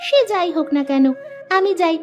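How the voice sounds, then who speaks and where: Poor little village girl for a cartoon, super high voice, earnest, exclaims to herself, faint music under. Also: normal speed. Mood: eager. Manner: exclaiming, talks to herself aloud. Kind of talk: Bengali moral-story cartoon voice acting. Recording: music behind the voice.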